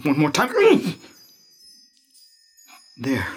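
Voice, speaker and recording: An actor in an audio drama playing an earnest young man in his twenties, easily flustered and halting. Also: medium pitch, breathy edge, full character voice. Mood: anxious, tender. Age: 20s. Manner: halting, excitable.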